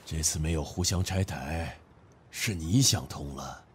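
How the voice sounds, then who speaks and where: An actor playing a stern older father in an audio drama, speaking in a measured, weighty way. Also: extremely low pitch, a little hiss or hum. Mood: probing. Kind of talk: Chinese audio drama acting. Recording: background music under the dialogue.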